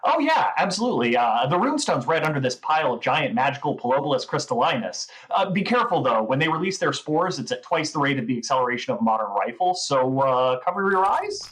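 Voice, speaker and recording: A woman's voice: rumbling low, sing-song, fast, few pauses, low-quality recording. A woman playing a gushing, rambling gnome who is obsessed with mushrooms, in a comedic audio drama. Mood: eager lecturing. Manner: gushing, rambling, wide-eyed enthusiasm.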